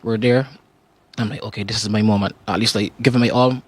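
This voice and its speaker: A man's voice: lowish voice, steady tone, quick pace. A young man with a Barbadian accent, a singer guest on a morning show, telling his story casually and acting out his own reactions. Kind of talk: casual interview talk.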